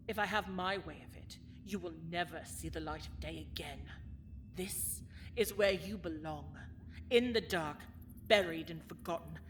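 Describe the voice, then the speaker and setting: A woman's voice: mid-range voice, noisy background, lightly acted. A woman playing a cold, bitter villainess who rules a dungeon, speaking with haughty, theatrical menace in a fantasy audio drama. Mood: menacing. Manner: haughty, menacing, theatrical, swinging from hushed to forceful.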